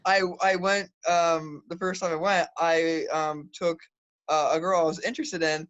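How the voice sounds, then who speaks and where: A man's voice: fairly high pitch, steady tone, moderate pace, a bit bright, highs cut off. A young man with a light, feminine-sounding voice, chatting as a guest in a bubbly way, with lots of laughs and fillers. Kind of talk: casual chat.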